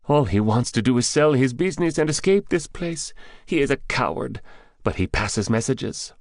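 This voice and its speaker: A man's voice: mid-range voice, expressive, moderate pace, darkish tone, dry recording, clean studio sound, pitched above the performer's own voice, lightly acted. A man voicing a frightened, boastful captive who works as a drug gang's escort in an audiobook, with a pleading, babbling way of talking. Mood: regaining confidence. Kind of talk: audiobook character dialogue.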